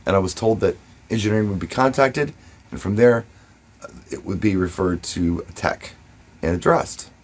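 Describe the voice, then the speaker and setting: A man with a fairly low, noticeably husky voice talks in a casual vlog style. He shares advice and anecdotes conversationally, leaning on key words and pausing briefly for effect. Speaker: DJ and vlogger. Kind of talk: casual first-person advice and storytelling to camera.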